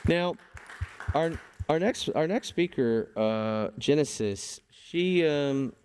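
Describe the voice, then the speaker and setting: A man's voice: fairly high pitch, animated, takes it slowly, a little mellow, clear, resonant tone. A man hosting a live event, introducing poets and hyping the audience. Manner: upbeat, hypes the crowd. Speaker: event host.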